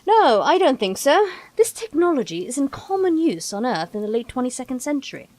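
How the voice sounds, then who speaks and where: Woman doing eccentric brainy time traveller lady, chatty n whimsical, highish lively voice, audio drama. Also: a little fast, no room sound. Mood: explaining. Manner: whimsical, chatty, lecturing, quaint turns of phrase.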